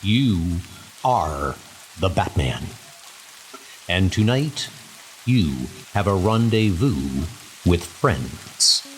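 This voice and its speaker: Low, very slow ominous male narrator. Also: big pitch swings, a little hiss or hum, poor audio. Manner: ominous, deliberate. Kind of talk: dramatic scripted comic book narration.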